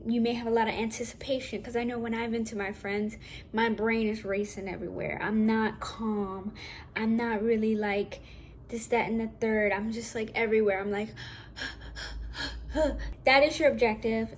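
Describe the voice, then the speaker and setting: A young woman with a naturally high voice, talking casually and rambling a little as she shares acting tips from home. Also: normal speed, a little hiss or hum. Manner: chatty, rambling, self-correcting, casual. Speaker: vlogger.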